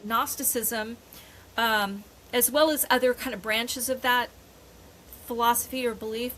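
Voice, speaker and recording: An older woman co-hosting a podcast in casual chat, rambling and often pausing to search for words. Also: fairly high pitch, steady tone, fairly bright tone, rough recording. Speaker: podcast co-host. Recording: faint background noise.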